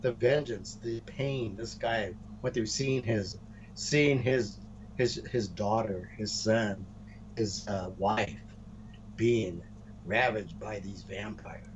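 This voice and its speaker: A man co-hosting a casual wrestling storyline chat, speaking haltingly and often repeating words as he restarts phrases. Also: on the low side. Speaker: co-host of a wrestling podcast. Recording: muffled, noisy room recording.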